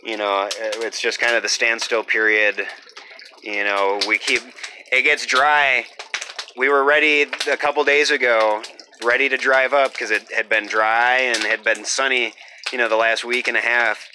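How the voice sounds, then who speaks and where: A young man walking through his half-built homestead, talking casually and rambling with many fillers, in a voice he calls monotone. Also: medium pitch, moderate pace, background noise. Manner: rambling, lots of fillers, self-described monotone. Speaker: homesteader vlogger. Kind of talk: casual off-grid build vlog. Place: half-built earthship and outdoor rooftop.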